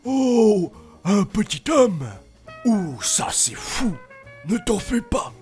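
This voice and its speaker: A man voicing a flamboyant, excitable cartoon ape king who exclaims and scats showily. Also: high-pitched, extremely slow, faint music behind, pitched above the performer's own voice, exaggerated voice. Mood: gleeful, wheedling. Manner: exclaiming, scatting, showy.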